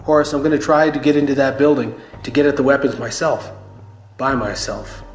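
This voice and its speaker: A man's voice: medium pitch, brisk, echoey room, close to an everyday voice. A man acting a shaken but determined armed survivor of a zombie outbreak in a radio play. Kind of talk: radio play acting with first-person narration. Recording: music bed under the voice.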